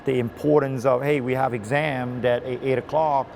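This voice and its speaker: A man's voice: mid-range voice, fairly even intonation, moderate pace, creaky, a little mellow, a little hiss or hum. A man from Bali who coaches college swimming, chatting in a rambling way with many fillers and restarts. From Indonesia (Bali). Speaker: swim coach. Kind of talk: casual interview.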